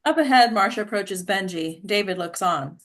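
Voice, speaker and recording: Woman narrating a script, medium pitch. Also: lively intonation, normal speed. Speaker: stage directions reader.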